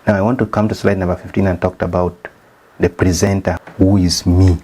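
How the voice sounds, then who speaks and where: Deep-voiced Ugandan man teaching. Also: flat delivery, brisk, dark tone. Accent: Ugandan English. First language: not English. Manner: methodical, explains step by step. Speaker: statistician and channel host. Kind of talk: presenting a slideshow lesson.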